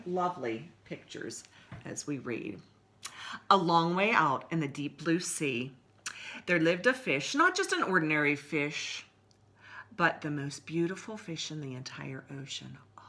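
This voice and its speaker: Animated, bright-voiced woman reading to children. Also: low voice. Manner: animated, asks listeners questions. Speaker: library story time host and reader. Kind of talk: expressive picture-book storytelling for children.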